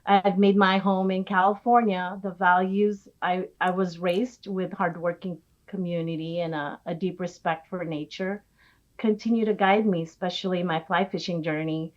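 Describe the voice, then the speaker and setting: Low-voiced woman on a muffled line. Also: steady tone, moderate pace. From Philippines. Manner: warm, rambling, many fillers. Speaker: podcast guest. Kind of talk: casual interview.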